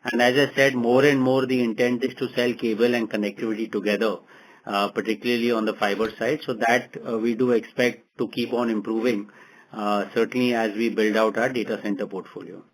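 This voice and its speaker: Male executive, average pitch, measured and halting, answering investor questions. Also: little pitch movement, low-quality recording. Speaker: company executive. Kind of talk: earnings call answers.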